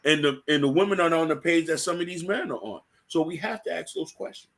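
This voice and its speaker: Male podcast host, fairly high voice, emphatic opinionated delivery aimed straight at listeners. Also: expressive, fast talker, creaky, fairly bright tone. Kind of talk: opinionated panel talk with rhetorical questions.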